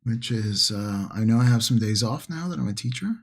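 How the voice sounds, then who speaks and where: A man hosting a casual painting livestream, chatting to viewers in an unhurried, rambling way as if musing to himself, with a husky, gravelly voice. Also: mid-range voice, lively intonation. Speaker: livestream host, miniature painting hobbyist.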